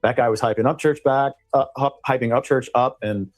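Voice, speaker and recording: A man's voice: mid-range voice, steady tone, brisk. A man speaking as an attorney being interviewed, recounting things in a casual, explanatory way. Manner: conversational, explanatory.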